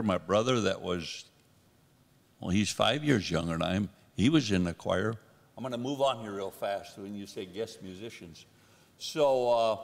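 An older man telling rambling, self-deprecating stories to a church congregation on a handheld mic. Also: mid-range voice. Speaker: guest singer sharing a testimony. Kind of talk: casual storytelling to a congregation. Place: church sanctuary. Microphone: handheld microphone. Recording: long hall reverb.

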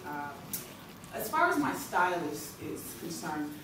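A woman, giving a hesitant, soft-spoken artist's talk at a lectern in a gallery, with many ums and uhs. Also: lowish voice, sing-song, unhurried, slight background noise. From Jamaica. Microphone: podium mic recorded by a far camera. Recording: echoey gallery from far off.

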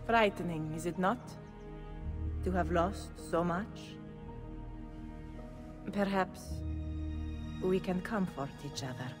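An actress playing a bitter, seductive duchess with an Italian accent in a video game, haughty and theatrical. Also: female voice, lowish voice, slow, pauses often, music in the background. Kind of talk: video game cutscene acting.

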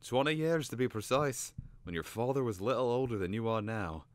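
A man's voice: mid-range voice, expressive, fairly quick, no echo, voice pushed up, close to an everyday voice. A man voicing a haughty, irascible talking bird in a storybook, indignant and grandiloquent in manner. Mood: irritable, grand.

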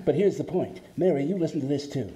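A man's voice: a little high, quick pace, mellow, close to an everyday voice. A man playing a brash, jovial young businessman on a phone call in a radio play, hearty and boastful. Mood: pushy, excited.

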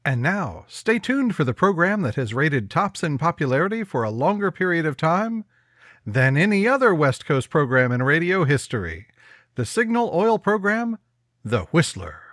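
Brief: male old-time radio announcer, bright and lively, dry close mic. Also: normal speed, dry recording. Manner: booming, formal announcer delivery. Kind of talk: 1950s radio sponsor announcement.

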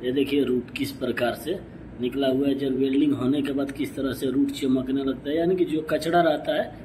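A man's voice: mid-range voice, fairly even intonation, a little fast, background noise. A man hosting a welding channel, explaining a pipe weld step by step and often calling viewers "friends". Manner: repeats "dekhiye" and "doston" often, explanatory. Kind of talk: explaining welding over filmed work.

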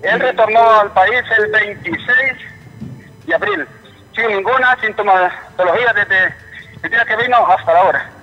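A man with a Honduran accent calling a live news programme by phone, speaking in an indignant, halting way and repeating himself. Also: high voice, soft background music. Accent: Honduran Spanish. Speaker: phone-in caller, relative of a patient. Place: telephone call to a TV studio. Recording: muffled, reverberant phone line.

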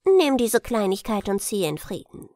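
A woman voicing a sly, haughty gnome king in a fairy tale, coaxing with slow pomp and breathy, whispered touches. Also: medium pitch, sing-song, mellow, no room sound, clean studio sound, lightly acted. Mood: coaxing, condescending. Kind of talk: fairy-tale audiobook reading.

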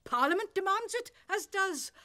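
A woman's voice: extremely high pitch, animated, moderate pace, pushed and bright, heavily acted. A woman playing a stern older education official in a cartoon, with a British accent and a formal, disdainful manner. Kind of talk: animated cartoon acting.

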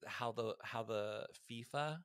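A man's voice: medium pitch, slow pace, fairly bright tone, clean tone, dry recording. A man co-hosting a podcast in casual, gossipy chat, with campy emphatic repetition, on a close microphone. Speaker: podcast co-host. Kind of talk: casual chat about pop music news. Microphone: close podcast microphone, video call.

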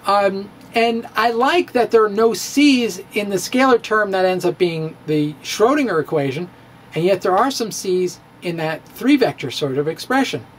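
A man's voice: high-pitched, animated, normal speed, a little hiss or hum. A middle-aged man giving a playful, theatrical reading of his own physics blog, recorded at home on a close studio microphone. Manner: playful, theatrical, with chuckles and asides. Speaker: physics blogger. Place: home study with bookshelves, indoors. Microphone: studio microphone on stand, close.

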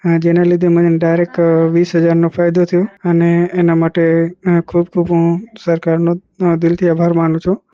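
A man giving a plain, unscripted customer testimonial in a halting, hesitant way. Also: fairly high pitch, monotone, dark tone. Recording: dull, lo-fi voice clip.

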